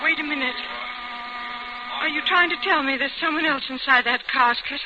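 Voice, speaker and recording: A high-pitched, anxious young woman played by a radio actress, dramatic and breathless in the manner of 1940s suspense radio. The old recording is dull and very noisy. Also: normal speed, close to an everyday voice. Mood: bewildered.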